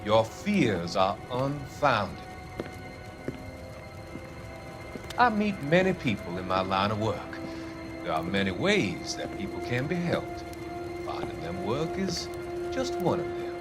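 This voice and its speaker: An actor playing a smooth, evasive, quietly menacing psychiatrist in a dramatic video game, with a courteous, deliberate, patronizing manner. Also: male voice, on the low side, big pitch swings. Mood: cold, measured. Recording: background music behind the voice.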